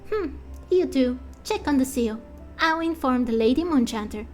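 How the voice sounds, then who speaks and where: A woman's voice: sits high, lively intonation, moderate pace, darkish tone, soft background music. A woman voicing a stern temple guard in a fantasy game, curt and threatening as they hunt intruders.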